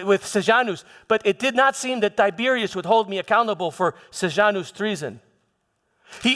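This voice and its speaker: A man playing a troubled Roman governor who recounts his past in a halting dramatic monologue, repeating words and pausing for emphasis. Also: high-pitched, fairly bright tone. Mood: anxious recounting. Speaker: church dramatist performing a monologue. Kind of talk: first-person dramatic monologue in church. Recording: echoey hall with long reverb.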